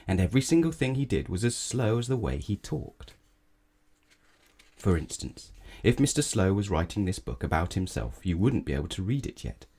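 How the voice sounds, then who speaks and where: A man reading a children's story aloud in an expressive, measured storytelling manner, with chatty asides to the listener. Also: low-pitched, husky. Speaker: storybook reader. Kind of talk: children's read-aloud narration.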